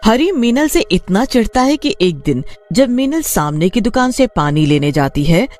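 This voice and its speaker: A woman narrates a scripted story. Her intonation is lively and varied, moving up and down freely. Faint music plays behind the voice. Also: medium pitch, normal speed.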